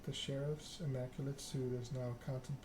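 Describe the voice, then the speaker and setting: Male ASMR game streamer, flat and soothing read, clear background noise. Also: medium pitch, moderate pace. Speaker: streamer reading a narrative game aloud. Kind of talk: ASMR narration of game text.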